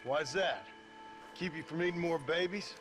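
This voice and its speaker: A man's voice: a little high, moderate pace, noisy background, lightly acted. A man playing a cynical Western gunslinger in a video game, dry, blunt and sardonic. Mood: scornful. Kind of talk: video game cutscene acting.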